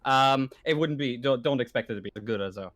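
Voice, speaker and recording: A man's voice: mid-range voice, fairly bright tone, dry recording. A man running a tabletop game as game master, improvising and stammering as he rephrases himself. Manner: halting, stammering, rephrasing often.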